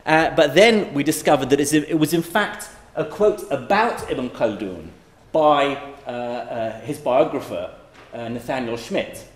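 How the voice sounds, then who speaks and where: A man's voice: mid-range voice, expressive, unhurried. A middle-aged man from Iraq giving a conversational public lecture, with frequent fillers and restarts. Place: lecture hall. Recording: echoey lecture hall.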